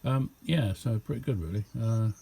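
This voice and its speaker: A man's voice: on the low side, moderate pace, creaky, noisy background. A man giving a casual, unscripted show-and-tell as a hobbyist, rambling along in an understated way. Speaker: hobbyist demonstrating a homemade radio.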